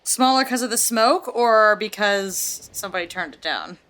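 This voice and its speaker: A woman playing a tabletop game, asking quick questions in an animated way. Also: mid-range voice. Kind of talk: casual play chat.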